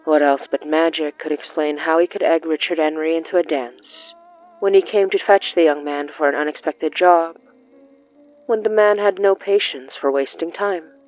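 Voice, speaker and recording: A narrator reading scripted horror fiction in a deliberate, literary way with dramatic pauses. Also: mid-range voice, fairly even intonation, dull audio, soft background music.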